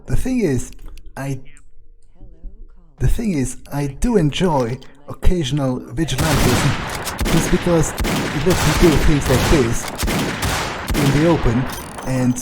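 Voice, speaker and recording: A man's voice: fairly high pitch, animated, slow, heavy background noise. A man giving wry, musing in-character commentary as he plays a roleplay game. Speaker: player of a roleplay game playthrough.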